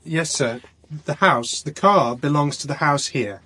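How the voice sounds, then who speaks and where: A nervous junior policeman in a radio drama. He is deferential and hesitant, with a slightly raised, husky voice. His words come out slowly and anxiously. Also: fairly high pitch, sharp tone.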